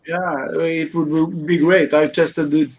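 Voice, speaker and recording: Halting Swedish man, high voice. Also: flat delivery. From Sweden. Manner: halting, with many uh fillers. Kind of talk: casual chat on a video call. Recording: dull lo-fi call audio.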